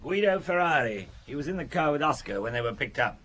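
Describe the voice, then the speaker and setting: Husky, dutiful junior policeman. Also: a little high, very animated, fast, fairly bright tone. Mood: brisk. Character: dutiful junior policeman reporting to his chief. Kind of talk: radio murder mystery acting.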